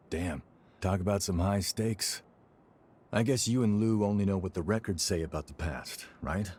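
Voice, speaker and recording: A man voicing a world-weary, loyal warrior in a video game, talking in a measured, casual and earnest way. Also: fairly low pitch, darkish tone, close to an everyday voice. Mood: wry, casual. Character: world-weary, loyal male revenant warrior searching for lost friend.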